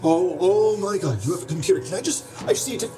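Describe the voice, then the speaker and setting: A young woman playing an anxious, apologetic struggling writer in her twenties in a radio play, halting and stammering. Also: fairly low pitch, expressive, mellow, close to an everyday voice. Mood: frantic, apologetic. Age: young adult. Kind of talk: naturalistic radio play acting. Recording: roomy echo, music behind.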